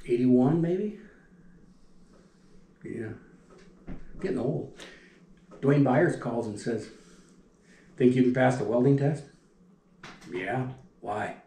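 An older man, a retired factory welder, telling plant stories in a folksy, rambling way that often drops off quietly. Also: medium pitch, slow pace, long pauses. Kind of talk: casual storytelling. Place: dining room at a wooden table. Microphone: camera across the table. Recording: echoey room.

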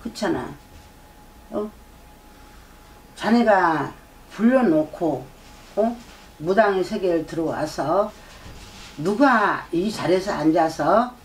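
Lecturing middle-aged female shaman. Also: on the low side. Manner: lecturing, repeats herself, prompts with "eh?". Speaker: shaman fortune teller. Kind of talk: fortune-telling consultation. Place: shrine room with folding screen and drum. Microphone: far camera. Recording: distant mic, faint room ambience.

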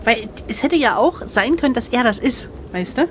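Higher-pitched woman chatting as gamebook player. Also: lively intonation, moderate pace, a bit bright, slight background noise. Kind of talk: casual chat.

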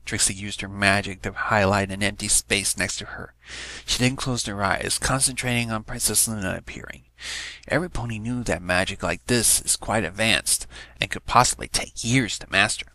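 A man's voice: fairly low pitch, fairly even intonation, normal speed, bright, forceful tone, no room sound, poor audio. A man narrating a fan fiction story, reading aloud from a script.

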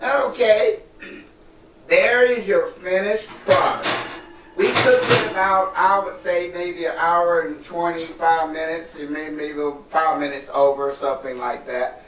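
A man's voice: on the high side, fairly even intonation, measured pace, background noise. A man in his fifties, a guest cook chatting in a home kitchen in a folksy, effusive way. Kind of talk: casual cooking show chat. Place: home kitchen and dining room.

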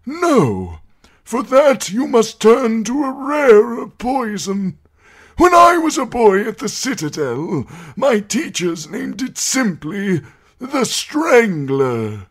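Pycelle, a quavery old maester. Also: male voice, extremely high pitch, wildly swooping pitch, takes it slowly, pitched above the performer's own voice, exaggerated voice. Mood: quavering accusation. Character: frail quavering old male maester, accusing.

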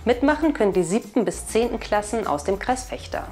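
A woman's voice: fairly high pitch, animated, a little fast, darkish tone, soft background music. A woman in her thirties with a German accent presents scripted local news as the host of a weekly video bulletin. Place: indoor video studio. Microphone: lapel mic.

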